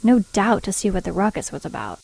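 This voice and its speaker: An actress playing an earnest, proper nun who narrates strange events in an audio drama. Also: female voice, mid-range voice, big pitch swings, gravelly, a little mellow, no room sound. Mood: grave narration. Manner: measured storytelling, primly earnest.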